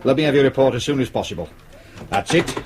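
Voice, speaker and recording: A British actor playing a dogged, blunt police detective sergeant in a radio drama, with brisk, gruff colloquial talk. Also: male voice, medium pitch, expressive, background noise, natural voice. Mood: intent, satisfied.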